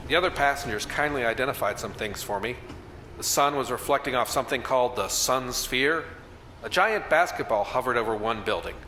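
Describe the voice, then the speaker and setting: A man acting a hard-boiled, curmudgeonly reporter out of an old-time radio play. He narrates in slangy, wry fashion at a middling pitch, with roomy echo and faint music behind him. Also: lightly acted.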